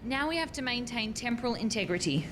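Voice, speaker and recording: An actress plays a woman researcher in a radio farce, earnest and harried. Her fairly high voice gives instructions in a bossy, insistent way. Noise and rumble sit clearly on the home recording. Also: very animated, fast. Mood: urgent, instructing. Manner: insistent, explanatory. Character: earnest, bossy, harried female doctoral time-travel researcher.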